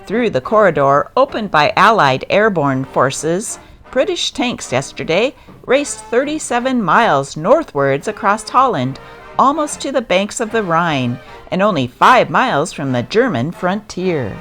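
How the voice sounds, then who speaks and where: A woman's voice: fairly low pitch, very animated, measured pace, a bit bright. A woman hosting and reading old newspaper articles aloud as scripted narration. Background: music.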